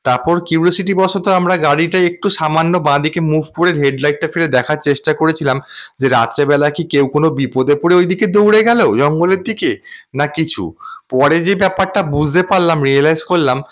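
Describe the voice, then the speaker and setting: A man's voice: a little high, fast talker. Earnest man, explaining to viewers. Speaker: vlogger and host. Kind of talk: recounting a personal ghost experience to viewers.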